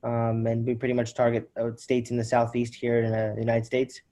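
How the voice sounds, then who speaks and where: A nineteen-year-old man on a video call, giving a concise, businesslike pitch as a podcast guest. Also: completely flat delivery, fairly quick, mellow.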